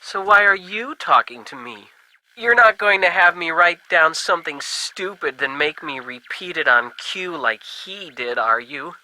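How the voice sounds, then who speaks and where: An actor playing a folksy, skeptical working-class ham radio buddy in a video game, talking in casual old-fashioned slang. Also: male voice, a little high, expressive, a little slow, bright, forceful tone, exaggerated voice, low-quality recording. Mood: suspicious.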